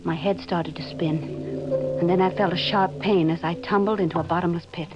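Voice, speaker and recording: A woman's voice: lowish voice, steady tone, brisk, loud music behind the voice, natural voice. An actress playing a confused, troubled wife searching her memory, in the melodramatic style of 1940s radio acting. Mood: haunted, brooding. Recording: muffled, noisy old radio recording.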